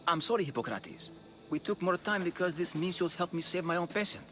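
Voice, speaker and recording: Ancient physician's apprentice, higher-pitched voice, deferential, apologetic, video game drama. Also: male voice, expressive, brisk, over music.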